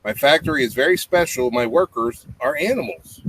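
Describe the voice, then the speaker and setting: A middle-aged man with a medium-pitched voice reads a children's story aloud at home. He moves between the written text and casual, simple explanations for children. The webcam recording has clear background noise. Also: lively intonation, fairly quick. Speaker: storybook reader, parent. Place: small indoor home room with low tiled ceiling. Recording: clearly noisy home webcam audio with clicks.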